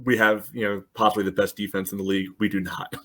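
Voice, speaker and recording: A man's voice: on the low side, level tone, fast talker. A man co-hosting a casual sports podcast, pushing back with statistics and filling his speech with "you know.". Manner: pushes back with stats, many "you know" fillers. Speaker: podcast co-host.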